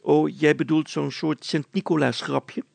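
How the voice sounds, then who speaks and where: A man's voice: fairly high pitch, steady tone, measured pace, vocal fry, a little mellow, husky, no echo, pitched above the performer's own voice, heavily acted. A man voicing a pompous, grumpy, whiny and stubborn mayor in a children's story, blustering and complaining as he gives orders. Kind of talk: children's storybook character acting.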